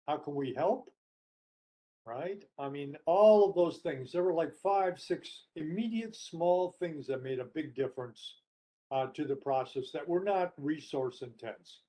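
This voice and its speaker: A man's voice: a little high, animated, a little slow, mellow, rough recording. A man telling stories conversationally as a guest speaker, emphatic and listing his points in short phrases. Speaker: guest speaker and book co-author. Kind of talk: conversational storytelling in a webinar discussion.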